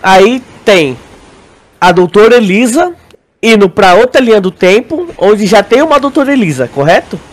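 A man with a Brazilian accent in a casual podcast discussion, explaining in a halting way, repeating words and often checking that his listener agrees. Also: high voice, expressive. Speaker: podcast co-host.

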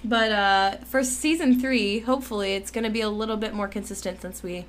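A woman's voice: medium pitch, a little fast, slight background noise. A young woman co-hosting a podcast, chatting casually with fillers, restarts and frequent laughs. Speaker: podcast co-host. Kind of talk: casual chat.

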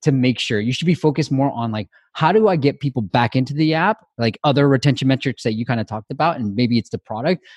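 A man hosting a podcast talks in an upbeat, chatty way, filling his sentences with "like". His voice sits at an ordinary pitch with a husky edge, recorded dry and close. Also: expressive, quick pace, hardly any pauses, darkish tone, no echo. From Myanmar. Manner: enthusiastic, chatty, uses "like" often. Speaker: podcast host. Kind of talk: casual podcast interview.

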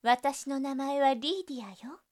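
A woman's voice: high-pitched, normal speed, natural voice. A woman playing a brazen, seductive succubus in a voice drama, flirtatious and playfully teasing. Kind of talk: Japanese situation voice drama acting.

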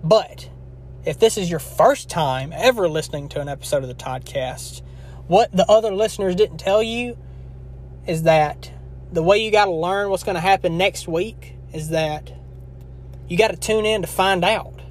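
A man's voice: high voice, moderate pace. A man of twenty-one hosting his own podcast in a casual, rambling, earnest way, his throat scratchy from a long session. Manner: rambling, earnest, with frequent restarts. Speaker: podcast host. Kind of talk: casual solo podcast wrap-up.

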